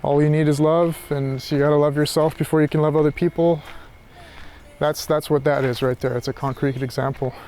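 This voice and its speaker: A man's voice: on the high side, little pitch movement, fairly quick, darkish tone, a little hiss or hum. A man thinking aloud in an unscripted reflective monologue, hesitant and searching, often circling back over his phrases. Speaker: philosophy vlogger.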